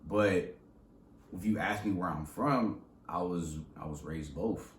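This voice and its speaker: A young man with a very low voice chats about where he comes from in a casual, slangy way. He speaks in an echoey room, with a faint background hum behind him. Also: measured pace. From Southern California. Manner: slangy, animated storytelling with laughs. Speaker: rapper guest. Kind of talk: casual interview chat.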